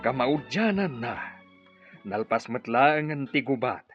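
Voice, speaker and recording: Casting: a righteous war chief, husky with animated swings in pitch, emphatic, for radio drama. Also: male voice, a little slow, lo-fi sound, faint music behind. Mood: solemn relief. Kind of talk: Ilocano radio drama acting.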